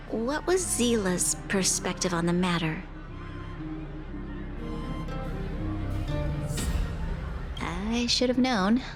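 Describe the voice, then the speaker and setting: A woman's voice: medium pitch, close to an everyday voice. Woman as a formal, dignified young female ruler. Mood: resigned. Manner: formal, measured, polite. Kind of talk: video game voice acting. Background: game music.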